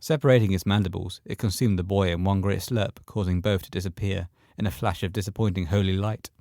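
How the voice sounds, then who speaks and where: A man with a low voice narrates a scripted horror tale. He is recorded close and dry, with no room around him. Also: steady tone, a little fast, gravelly, dark tone, no room sound.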